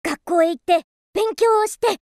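A woman voicing a naive, mischievous wooden puppet boy in a radio drama, with a bright childlike voice. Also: very high-pitched, normal speed, dry recording, clean studio sound. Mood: earnest.

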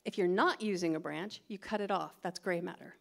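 A woman's voice: fairly low pitch, a little fast, gravelly. A middle-aged American woman from California doing a chatty, self-deprecating stand-up set on a theatre stage into a stand microphone. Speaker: stand-up comedian. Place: theatre stage before a live audience.

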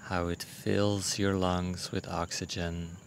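A man leading a guided meditation in a gentle, unhurried manner, with long pauses between short phrases. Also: sits low, flat delivery, slight background noise. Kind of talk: guided meditation, soothing instruction.

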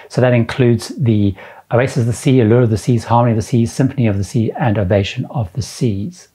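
A man's voice: medium pitch, darkish tone, slightly rough. A middle-aged man presenting to camera, enthusiastically listing features with repeated superlatives. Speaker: cruise travel vlogger. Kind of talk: presenting to camera, touring suites. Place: indoor room with framed ship posters. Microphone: lapel mic, camera framed at chest.

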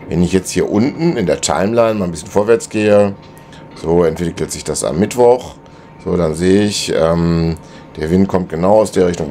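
A man's voice: low-pitched, warm tone, slight background noise. A middle-aged man vlogging from the cabin of his sailboat, explaining route planning in a chatty, colloquial way with many filler sounds. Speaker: sailing vlogger. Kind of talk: casual explainer over screen maps. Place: cabin of a sailboat at anchor, wind outside.

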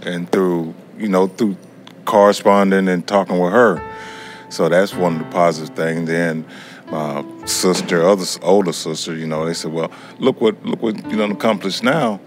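A middle-aged American man with a low voice speaks in an earnest, colloquial way. It is candid interview talk, with guitar music clearly heard behind him. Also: steady tone, normal speed. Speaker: prison education student. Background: acoustic guitar music.

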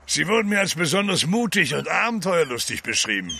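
An actor playing an eerie, commanding sea captain who speaks deliberately in an audio drama. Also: male voice, fairly high pitch, very animated, gravelly, bright, forceful tone, slight background noise, heavily acted. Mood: ominous, commanding.

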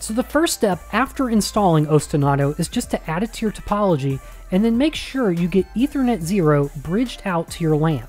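A man's voice: high-pitched, lively intonation, a little fast, few pauses, a little mellow, soft background music. A man in his thirties with an American accent, explaining a tutorial in a conversational, instructive and emphatic way into a close studio mic. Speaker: instructor and video host. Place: small home studio room. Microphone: close studio mic on boom arm.

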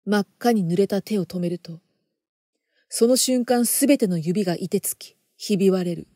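A woman performing a first-person horror story narration in a theatrical, intense manner, moving between hushed and emphatic delivery. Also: medium pitch, moderate pace, no echo, studio quality.

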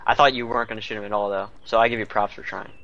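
A man's voice: lowish voice, level tone, rapid-fire, pushed and bright, slight background noise. A young man playing a survival game with a friend, giving nervous play-by-play and pleading with strangers not to shoot. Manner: jittery play-by-play, pleads with other players. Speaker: co-player. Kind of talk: casual gaming chat. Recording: dull lo-fi voice chat.

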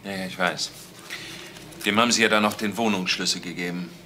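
An actor playing a businesslike police investigator in a radio crime play, factual in questioning and reporting. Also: male voice, sits low, fairly even intonation, brisk, bright, forceful tone, a little hiss or hum. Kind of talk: German radio crime drama acting.

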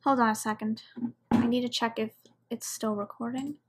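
A woman's voice: a little high, steady tone, normal speed, slight background noise. A woman giving casual reaction commentary as she watches.

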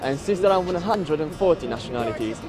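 A man's voice: a little high, lively intonation, fast, over music. A young man presenting a feature in scripted narration. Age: young adult. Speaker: student TV presenter.

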